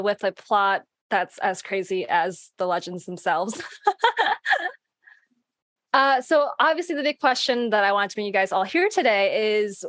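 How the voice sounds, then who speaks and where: A woman's voice: mid-range voice, fairly bright tone, no room sound, clean studio sound. A woman casually hosting a livestream panel, bubbly and giggly in manner. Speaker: livestream host, podcast creator.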